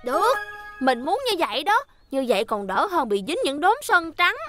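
A woman's voice: high voice, very animated, moderate pace, fairly bright tone. A woman voicing a cheerful young vehicle character in a dubbed children's cartoon, bubbly and childlike. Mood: eager. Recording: music behind the voice.

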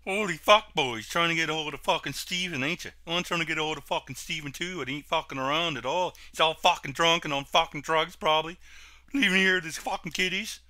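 A man's voice: on the high side, brisk, sharp tone, no echo, studio quality. A man voicing an odd, foul-mouthed trailer-park man with a pinched, strained voice. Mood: agitated, griping.

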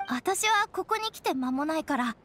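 A woman's voice: sits high, lively intonation, normal speed, slight background noise. A woman voicing an earnest, eager teenage girl ranger in a video game, speaking plainly with slight hesitation. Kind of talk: Japanese game character acting. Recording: soft music behind the voice.

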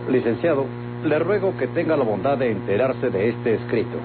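A man's voice: mid-range voice, expressive, moderate pace, close to an everyday voice. An actor with a Mexican accent playing an evasive, indignant businessman accused of murder, formal and defensive, in a vintage radio drama. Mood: formal, confident. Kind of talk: Mexican golden-age radio drama acting. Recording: muffled vintage radio recording, music behind.

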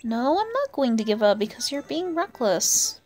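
A woman's voice: fairly high pitch, very animated, moderate pace, darkish tone, faint music behind. A woman giving casual, chatty gameplay commentary as a player and channel host, often talking to herself and to the game's characters. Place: indoors, voice-over on gameplay with game music behind.

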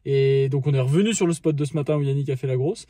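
A man's voice: mid-range voice, fast talker, dry recording. A man in his thirties recapping a fishing trip to camera in casual, slang-filled talk with lots of fillers, filmed in a car on a phone held at arm's length. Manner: slangy, many fillers, excited storytelling. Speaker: fishing vlogger. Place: inside a parked car.

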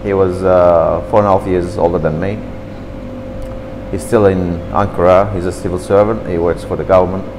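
Man in his fifties, fairly low voice, lively storytelling, faint air conditioning hum. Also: moderate pace, big-room sound. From Turkey. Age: 50s. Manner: chatty, animated, gestures with hands, slightly broken English. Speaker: interviewee. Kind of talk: reminiscing in an interview. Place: café, seated at a table indoors. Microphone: camera across the table.

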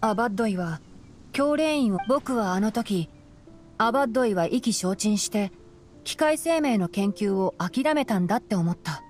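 A woman voicing a youthful, analytical male scholar in an anime game, calm, boyish and matter-of-fact. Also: mid-range voice, moderate pace, lightly acted. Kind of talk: anime game voice acting, explanatory dialogue.

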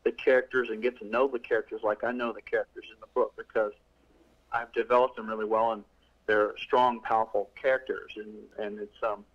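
A man's voice: on the low side, flat delivery, a little fast, highs cut off. A middle-aged male author talking casually in an interview, rambling with many restarts and fillers. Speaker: author guest.

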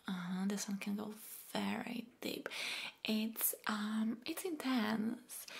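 A young woman whispers breathily and soothingly, with pauses and soft hums, close to the microphone in an ASMR roleplay as a massage therapist. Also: mid-range voice, gravelly. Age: young adult. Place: small indoor room.

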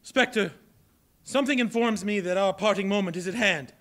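An actor on stage playing old Scrooge, anguished and pleading with a spirit, in a large hall. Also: male voice, sits high, moderate pace, fairly bright tone. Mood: fearful. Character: Scrooge, old miser now fearful and pleading. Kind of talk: live stage play reading. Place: large hall, live audience. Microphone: far camera.

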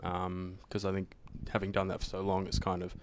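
A young Australian man chatting in a relaxed, rambling way on a church panel into a handheld mic. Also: sits low, brisk, slight background noise. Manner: relaxed, rambling, says sort of often. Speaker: panel guest. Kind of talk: casual panel chat. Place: church studio set, indoors. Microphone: handheld microphone.

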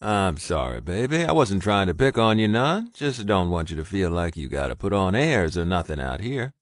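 A man's voice: on the low side, very animated, moderate pace, dry recording, studio quality. A man reading the part of a rugged, protective cowboy alpha in an audiobook, folksy and commanding. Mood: teasing.

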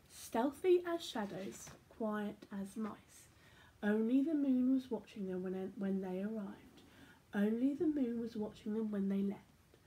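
High-voiced young woman reading a picture book. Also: poor audio. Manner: expressive, dropping into hushed whispers and rising to excited delivery. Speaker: children's storyteller. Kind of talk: animated picture-book read-aloud. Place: small domestic room indoors.

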